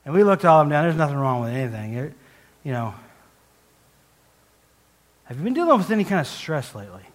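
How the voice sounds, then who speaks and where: A man's voice: fairly high pitch, big pitch swings, a little fast. Self-deprecating male preacher in a reverberant hall. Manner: rambling, self-deprecating, frequent restarts and laughs. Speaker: guest preacher. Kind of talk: conversational sermon with personal story.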